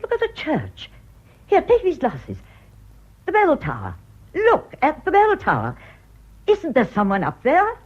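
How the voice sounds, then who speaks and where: An actress playing a sharp, wry, no-nonsense older village woman in a radio drama. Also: high voice, wildly swooping pitch, measured pace, long pauses, heavily acted. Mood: alarmed, commanding. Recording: dull lo-fi radio recording.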